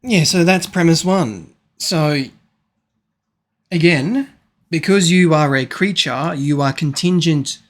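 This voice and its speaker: A man makes his case over a voice call, repeating himself insistently and sometimes stumbling over a word. He talks very slowly, in a fairly high voice for a man. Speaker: debater. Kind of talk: casual online debate.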